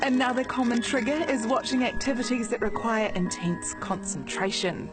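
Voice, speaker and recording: A woman's voice: a little high, moderate pace. A woman with a New Zealand accent, narrating a scripted television feature as its reporter. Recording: music bed behind voice. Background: music.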